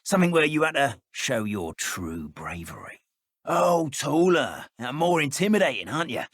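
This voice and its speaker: A man playing an excitable, nosy dark wizard henchman in a video game, with a hesitant, teasing manner. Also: mid-range voice, normal speed, dry recording, studio quality. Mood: teasing, curious. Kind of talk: video game character voice acting.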